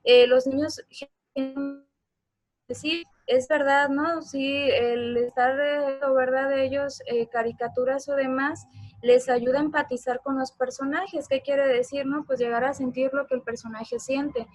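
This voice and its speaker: High-pitched woman giving an informal lecture. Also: fairly even intonation, a little slow, no room sound, soft background music. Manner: explanatory, seeking agreement with frequent tag questions. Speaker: presenter of a parenting talk. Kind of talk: informal online lecture with slides and video.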